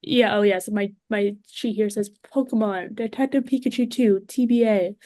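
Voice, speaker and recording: A woman speaking as a podcast guest, chatting casually in an informal, expressive way. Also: medium pitch, normal speed, dry recording, clean studio sound.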